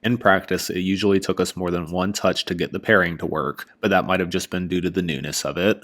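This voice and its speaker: Low-voiced man narrating a product review. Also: fairly even intonation, fairly quick, a bit bright.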